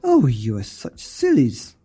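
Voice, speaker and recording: A woman's voice: extremely low pitch, wildly swooping pitch, slow, dark tone, slightly rough, soft background music, heavily acted. A woman voicing a small, fearless girl in a storybook, cheeky and matter-of-fact. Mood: cheeky, bold.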